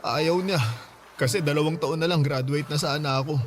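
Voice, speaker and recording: Actor doing sad gentle young guy, tagalog radio drama, kinda high voice, self-sacrificing type. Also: fairly even intonation, normal speed, slight background noise, close to an everyday voice. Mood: sad, resigned. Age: young adult. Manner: soft-hearted, earnest, sorrowful. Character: Danilo, young student boyfriend, grieving, gentle, self-sacrificing.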